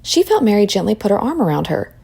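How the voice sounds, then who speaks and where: A woman reading a children's story aloud in expressive narration. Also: medium pitch, quick pace, gravelly, husky.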